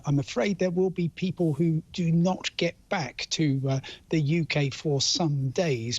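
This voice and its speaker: A British man with a fairly high, husky voice reports as a travel expert in a live news interview. His phrasing is halting and understated, and the link sounds dull and lo-fi. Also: dry recording.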